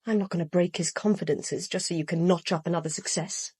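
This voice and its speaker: An actress playing a proud, wounded mistress in a radio drama, guarded and bitter yet controlled. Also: female voice, fairly low pitch, fairly even intonation, a little fast, mellow, breathy edge, no echo, clean studio sound. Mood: proud, defiant.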